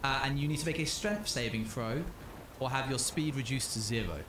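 A man running a tabletop role-playing game as game master, describing the action and calling for dice rolls with frequent pauses. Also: medium pitch. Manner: descriptive, often pausing with ums. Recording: echoey room with faint noise.

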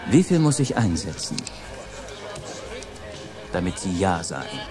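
A man's voice: low-pitched, big pitch swings, a little slow, over music. An actor playing an eager, talkative middle-aged businessman, persuasive and a little hesitant, in film-style dialogue. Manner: hesitant fillers, ingratiating salesman talk. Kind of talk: German-dubbed film dialogue.

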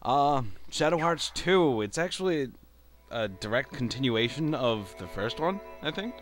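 A man's voice: mid-range voice, sing-song, measured pace, faint music behind. A man hosting a let's play, rambling casually and self-deprecatingly as he comments on a video game.

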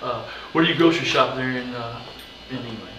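A man performing as an Elvis impersonator officiant, giving teasing, halting wedding ceremony patter in a chapel. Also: medium pitch, crowd noise. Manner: halting, drawling showman, teasing. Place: wedding chapel in Las Vegas. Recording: roomy, distant mic, noisy background.

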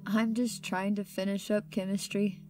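A woman's voice: medium pitch, little pitch movement, normal speed, music in the background, natural voice. A woman playing a studious, blunt and guarded teenage schoolgirl in an audio drama.

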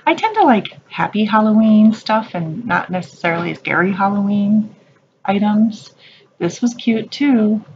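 A woman's voice: medium pitch, expressive, measured pace, darkish tone, lo-fi sound, a little hiss or hum. A woman narrating a casual shop-with-me video in a craft store, gushing and chatty about what she finds. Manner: gushing, chatty, calls things cute. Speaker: shopping vlogger. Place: craft store aisles, indoors.

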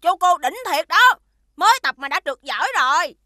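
A woman's voice: high voice, expressive, brisk, sharp tone, no echo, clean studio sound. A woman voicing an eager, boastful little boy who loves playing the hero in a children's cartoon. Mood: pleased, eager. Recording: music behind the voice.

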